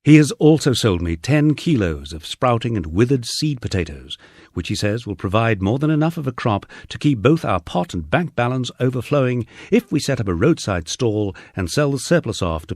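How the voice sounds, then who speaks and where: A man's voice: on the low side, darkish tone, slightly rough, dry recording, clean studio sound. A man reading a memoir as an audiobook narrator, with a wry storytelling delivery.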